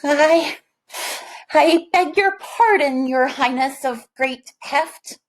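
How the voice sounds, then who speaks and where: A woman's voice: high-pitched, slow pace, pitched above the performer's own voice, poor audio. A woman voicing a timid, fawning royal steward in a children's storybook, whimpering and stammering. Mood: fearful, whimpering.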